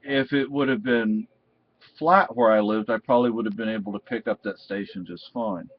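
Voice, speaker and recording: A man giving a casual, unscripted product review in a halting, rambling way. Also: on the low side. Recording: dull, muffled lo-fi sound.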